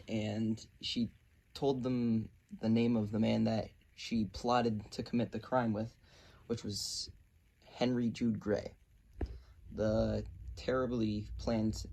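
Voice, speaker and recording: Male presenter, lower-pitched, slightly halting read of a factual script, faint noise on an amateur recording. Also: gravelly, a little mellow, low-quality recording. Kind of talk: scripted narration in question-and-answer form, read aloud.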